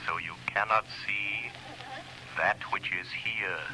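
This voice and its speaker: An actor playing a mysterious, invisible male avenger who speaks in a slow, ominous, deliberate way in a 1930s radio drama. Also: on the low side, steady tone, sharp tone, slight background noise, heavily acted, poor audio.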